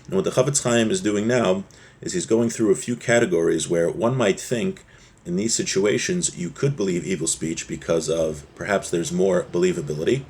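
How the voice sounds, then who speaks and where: A man with a fairly low, husky voice teaches a religious text in an informal lesson. He speaks conversationally, explaining as a teacher would to a student. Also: moderate pace, darkish tone. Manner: conversational, explanatory, acts out short examples. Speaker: Torah teacher.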